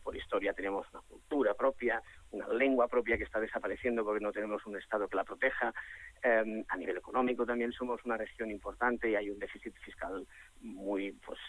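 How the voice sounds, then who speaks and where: A young adult man from Catalonia, answering interview questions in an animated, joking way, repeating himself and restarting his sentences. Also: lowish voice, fairly quick, husky, low-quality recording. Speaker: guest, comedian behind a satirical superhero character. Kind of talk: casual interview talk.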